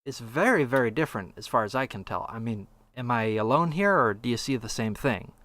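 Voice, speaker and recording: A man's voice: medium pitch, animated, brisk, a bit bright, no echo. A man co-hosting a casual podcast discussion, conversational, reading out passages and asking his co-host's view. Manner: conversational, reads quotes aloud, asks for opinions. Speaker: podcast co-host.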